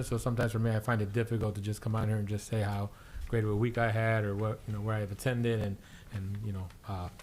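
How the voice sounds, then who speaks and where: Fast, flat-voiced man with many fillers. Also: on the low side, a little hiss or hum. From Illinois, USA. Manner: rambling, many fillers and restarts. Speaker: city council member. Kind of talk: council member's closing comments. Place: city council chamber.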